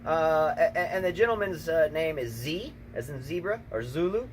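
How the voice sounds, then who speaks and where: Man, tennis co-host, emphatic, repeats himself, slightly high voice, windy outdoor recording. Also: expressive, moderate pace. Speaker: tennis channel co-host, coach. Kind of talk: casual outdoor chat. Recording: noisy outdoor recording, wind.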